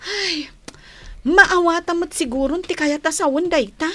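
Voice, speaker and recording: A woman's voice: extremely high pitch, a little slow, a bit bright, no room sound. A woman playing a concerned, gossipy friend in a radio drama, with an Ilocano accent. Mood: insistent, revealing. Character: concerned, gossipy female friend breaking bad news. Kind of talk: Filipino radio drama acting.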